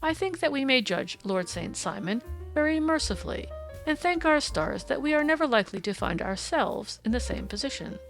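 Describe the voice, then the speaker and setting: A woman voicing a brisk, courteous Victorian male detective in an audiobook, precise, formal and explanatory in manner. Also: very high voice, sing-song, vocal fry, a bit bright, soft background music, full character voice. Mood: amused, philosophical.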